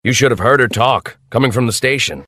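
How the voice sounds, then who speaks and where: Shy old farmer for a kids cartoon, gentle, kinda hesitant, mid pitched voice. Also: male voice, dry recording, studio quality. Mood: gently persuading. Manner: reluctant, halting, mild. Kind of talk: acted children's animated story.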